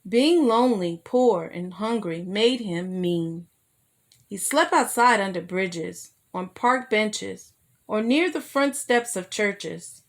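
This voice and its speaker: A woman reading a children's story aloud as a storyteller, in an expressive manner that draws young listeners in. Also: medium pitch, takes it slowly.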